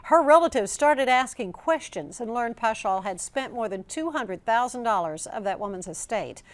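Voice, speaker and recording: A woman's voice: on the high side, animated, moderate pace. A woman reading scripted television news as an anchor in a studio.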